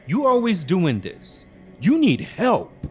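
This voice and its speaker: Man acting smug, sharp, high-pitched controlling boyfriend. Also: wildly swooping pitch, slow, faint music behind, exaggerated voice. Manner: sharp, dismissive, slangy. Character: smug, controlling, gaslighting boyfriend, casual and impatient.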